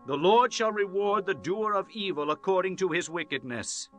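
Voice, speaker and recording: A man's voice: high voice, lively intonation, normal speed. A man playing a grave, commanding, grieving biblical king, declaiming solemnly in a dramatized scripture reading. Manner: solemn, impassioned, archaic declamation. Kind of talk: dramatized scripture, declamatory radio-play acting. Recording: music bed behind the voice. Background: instrumental music.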